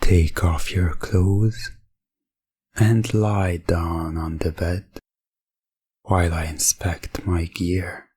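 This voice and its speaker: A man playing a calm, businesslike professional dominant in an ASMR hypnosis roleplay, speaking in a soft, breathy voice with measured, formal, detached delivery. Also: deep voice, dry recording, full character voice. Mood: formal, composed. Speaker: audio roleplay performer.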